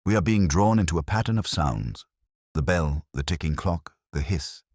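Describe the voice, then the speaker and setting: A man voicing a precise, commanding, analytical detective in an audio story, speaking in clipped, deliberate phrases. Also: low-pitched, lively intonation, darkish tone, dry recording, clean studio sound. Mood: grave, intent.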